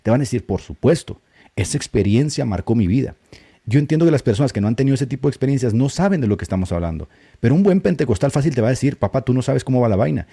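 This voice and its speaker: Dark-voiced man, emphatic live host. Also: mid-range voice, lively intonation, creaky, husky. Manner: colloquial, emphatic, talks fast. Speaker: podcast co-host. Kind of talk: casual live discussion.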